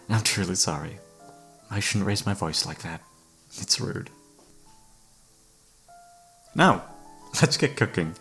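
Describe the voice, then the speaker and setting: Man playing an obsessive, theatrical killer, low-voiced. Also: fairly bright tone, breathy edge, exaggerated voice. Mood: sinister courtesy. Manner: theatrical, mock-polite, taunting. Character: obsessive flamboyant male serial killer, menacingly flirtatious. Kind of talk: scripted ASMR roleplay audio drama.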